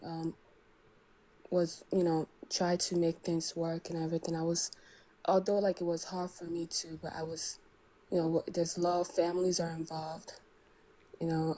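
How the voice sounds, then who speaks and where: A woman calls in to ask for advice. Her voice sits low and stays flat with a dark, mellow tone, and she hesitates often, restarting her sentences. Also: a little slow, poor audio. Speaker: caller seeking advice. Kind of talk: telling a personal story.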